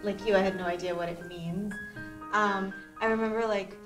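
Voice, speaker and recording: A woman's voice: medium pitch, steady tone, moderate pace. Chatty woman, distant and roomy. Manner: chatty, lots of "like". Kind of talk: group conversation. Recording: roomy, distant mic, music.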